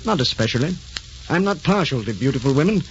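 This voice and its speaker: A man's voice: medium pitch, sing-song, quick pace. A muffled old radio recording with faint music carries a proud naval captain. He speaks formally and bluntly, reserved and clipped, in period drama style. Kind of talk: 1940s radio drama acting.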